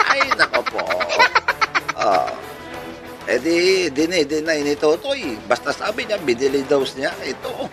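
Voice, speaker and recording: A man's voice: on the high side, huge pitch swings, measured pace. A man with a Filipino accent telling a comic story as a host, in a playful, chuckling manner full of rhetorical questions. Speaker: radio-style channel host. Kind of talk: comedic storytelling. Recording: music playing behind the voice. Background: music.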